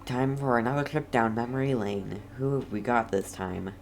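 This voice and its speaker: A woman's voice: very deep voice, normal speed, darkish tone, a little hiss or hum. A woman game streamer giving casual, reactive commentary as she plays.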